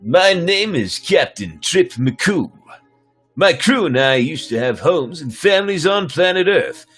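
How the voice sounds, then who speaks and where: A man's voice: a little high, very animated, unhurried, a bit bright, breathy edge, soft background music, pitched above the performer's own voice, full character voice. A man voicing a solemn space captain, declaiming a dramatic sci-fi story. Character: solemn male space captain narrating his crew's mission.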